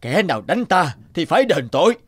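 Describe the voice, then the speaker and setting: A man's voice: on the high side, big pitch swings, brisk, slight background noise. A man playing a shrewd, righteous old village man with magical powers in a cartoon, declaiming and admonishing. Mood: righteous, stern. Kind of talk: cartoon voice acting.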